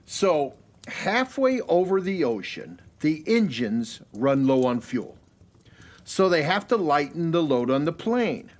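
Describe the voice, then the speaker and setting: An actor playing a playful, chatty man with a jovial, drawn-out storytelling manner. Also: a little high, sing-song. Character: playful, chatty American man telling a long joke.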